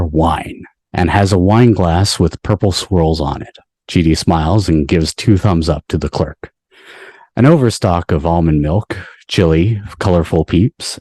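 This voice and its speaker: A man's voice: low-pitched, mellow, no echo. A man reading stage directions as the narrator of a scripted table read. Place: video call from indoors.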